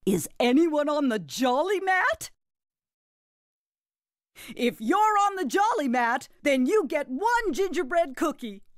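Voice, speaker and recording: A woman playing a jolly, doting grandmother who hosts an animated children's game in a gushing, sing-song way. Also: high-pitched, slow pace, no room sound, studio quality, heavily acted. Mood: bubbly.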